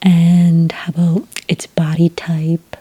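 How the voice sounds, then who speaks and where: A woman speaking in a soft, whispery voice close to the mic, playing a polite, unhurried agent in an ASMR roleplay. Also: on the low side, monotone, no room sound. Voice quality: breathy, whispery. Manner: gentle, unhurried, politely procedural. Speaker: ASMR roleplayer acting as a werewolf report agent.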